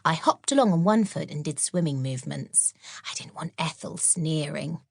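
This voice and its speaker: A woman performs a young, accident-prone witch schoolgirl for a children's audiobook. The character is earnest and a little sheepish, confiding in a lively, up-and-down voice. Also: deep voice, moderate pace, creaky, dry recording, exaggerated voice.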